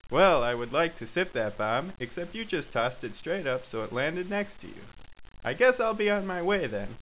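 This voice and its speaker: A man voicing a laconic, indifferent male friend in a comic story, terse and deadpan. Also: fairly high pitch, moderate pace, a little hiss or hum, rough recording. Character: Yumbly, a laconic, indifferent, beer-sipping male chatroom buddy.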